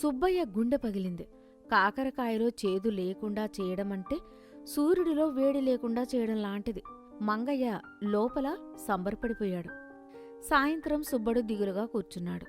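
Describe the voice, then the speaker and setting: A woman's voice: fairly high pitch, expressive, moderate pace, faint music behind. A woman narrating a scripted moral story in a storytelling style.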